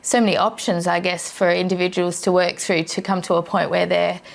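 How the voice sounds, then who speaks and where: A woman's voice: fairly low pitch, level tone, fairly quick, creaky. A woman moderating a panel discussion, attentive and encouraging.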